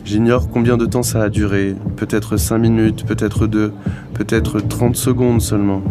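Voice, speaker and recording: A man's voice: lowish voice, level tone, moderate pace. A man reading scripted first-person narration from a novel. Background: clear music.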